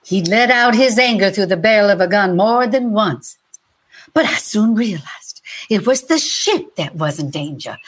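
Distressed shipwreck mother, acted by a woman, high bright voice, theatrical stage-reading delivery. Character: distressed young shipwreck mother recounting disaster. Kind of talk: dramatic reading.

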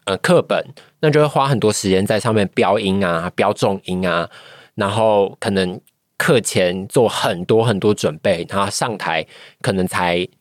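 A woman's voice: rumbling low, moderate pace, dry recording, studio quality. A woman with a Taiwanese Mandarin accent, a podcast guest giving a casual personal account and often searching for words. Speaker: podcast guest, voice acting trainee.

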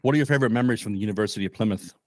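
A man's voice: fairly low pitch, racing, a little mellow, no room sound, studio quality. A man hosting his own podcast, reading a scripted intro and asking interview questions in an upbeat, announcer-like way. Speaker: podcast host.

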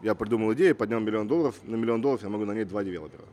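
A man's voice: lowish voice, racing, darkish tone. A man who grew up in Russia, speaking as a guest entrepreneur in an interview, assertive and fond of rhetorical questions. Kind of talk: casual interview talk.

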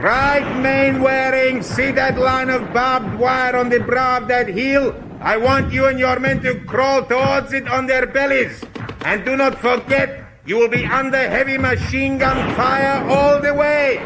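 An actor playing a stern, brisk Spanish training captain who barks precise orders in a radio sitcom. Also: male voice, very high voice, fairly even intonation. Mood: barking orders. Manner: barking, precise, commanding. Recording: faintly noisy old radio recording.

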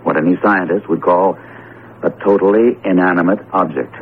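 A man acting as a rational, wry academic in a vintage radio mystery. His very low voice is measured and dryly amused. It comes through a narrow, muffled old broadcast. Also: fairly even intonation, a little hiss or hum, close to an everyday voice. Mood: dry, matter-of-fact. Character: wry, rational male academic friend and narrator. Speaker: radio drama actor. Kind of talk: 1970s radio drama acting and narration.

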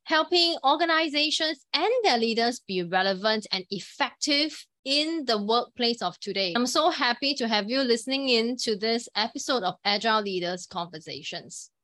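A woman podcast host and executive coach with a polished, measured delivery, introducing her show and asking questions. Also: a little high, animated, bright, forceful tone. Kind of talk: scripted podcast intro and interview.

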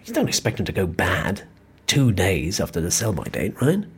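A worn-out, guilty office bloke in an audio drama; low husky voice, hesitant and sheepish. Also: male voice, wildly swooping pitch, moderate pace, full character voice. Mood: weary, sheepish. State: tired. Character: tired, hapless, guilt-ridden male office worker. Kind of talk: naturalistic audio drama dialogue.

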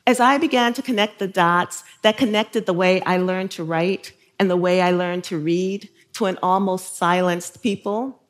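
A woman with a fairly low voice talks about her life and work in a measured, thoughtful way. She laughs softly between phrases. Faint music sits under her voice. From South Carolina, US. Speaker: guest author. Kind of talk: reflective interview and talk excerpts.

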